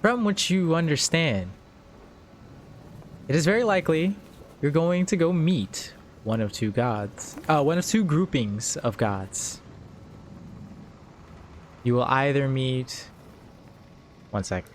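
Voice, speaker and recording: A man running a tabletop game as game master, reading lore aloud in halting, broken phrases. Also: a little high, animated, a little hiss or hum. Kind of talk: narrating a tabletop game over a call.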